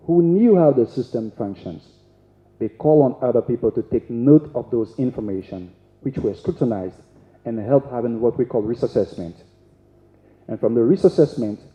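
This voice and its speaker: A man speaking as a panelist at a conference, explaining step by step with some halting restarts. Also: medium pitch. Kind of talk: panel discussion talk. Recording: echoey hall, dull sound.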